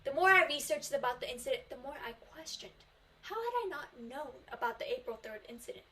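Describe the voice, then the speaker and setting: Korean teen girl doing a contest speech in english, high voice, earnest, leans hard on the big lines. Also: lively intonation, bright, forceful tone, a little hiss or hum. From South Korea. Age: teens. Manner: earnest, emphatic, slows on key phrases. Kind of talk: memorized speech contest delivery.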